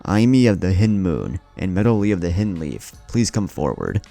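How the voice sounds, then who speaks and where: Man voicing a low, stern old samurai. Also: sing-song, normal speed, gravelly, warm tone, faint music behind, heavily acted. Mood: stern, formal. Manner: formal, commanding announcer. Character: stern old male samurai officiating a tournament.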